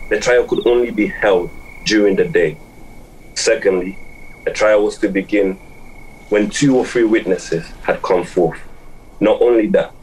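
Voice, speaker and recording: A man reading scripted explanatory narration in a formal, measured manner with a husky voice. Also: sits low, a little mellow, low-quality recording.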